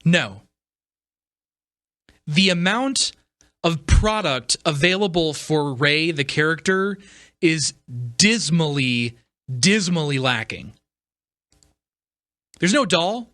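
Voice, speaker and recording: A man's voice: a little high, animated, slow, long pauses, sharp tone, no room sound, clean studio sound. A man, perhaps middle-aged, giving a casual, opinionated podcast monologue as host, stressing his points emphatically. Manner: emphatic, repeats phrases for stress.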